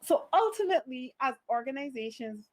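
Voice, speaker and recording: Bajan woman giving an hr talk online, fairly high voice, earnest and punchy. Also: animated, fairly quick. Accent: Barbadian. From Barbados. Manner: earnest, emphatic, engaging the audience. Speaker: HR speaker.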